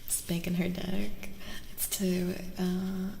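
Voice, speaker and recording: A woman streamer speaking in soft, soothing ASMR, drifting between a breathy whisper and a hushed voice, close to the microphone. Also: on the low side, level tone, slow, reverberant, slight background noise. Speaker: streamer hosting a live tarot reading.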